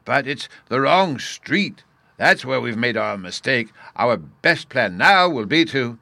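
A man's voice: mid-range voice, big pitch swings, moderate pace, vocal fry, sharp tone, no echo, voice pushed up, heavily acted. A man voicing a polite, absent-minded old professor in a storybook, courteous, stammering and enthusiastic. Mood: cheerful.

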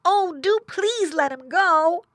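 A woman's voice: very high voice, expressive, takes it slowly, fairly bright tone, dry recording, clean studio sound, pitched above the performer's own voice, exaggerated voice. A woman voicing an eager, earnest young boy pig in a children's storybook. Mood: concerned.

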